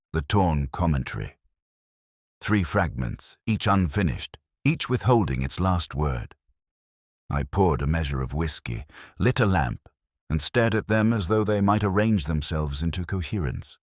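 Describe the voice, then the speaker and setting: Guy doing a really deep voiced brooding detective telling his case, scholarly and melancholy, voice moves around, dry close sound. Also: no room sound. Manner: measured, literary, melancholy. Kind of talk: scripted first-person mystery narration.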